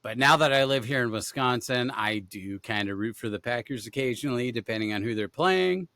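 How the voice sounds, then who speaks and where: An upbeat man from Denver hosts a casual gaming podcast, rambling happily through lots of fillers and restarts. His voice sounds bright and forceful. The recording is dry and close to the mic. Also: medium pitch, fairly quick, no echo. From Denver, USA. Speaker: podcast host.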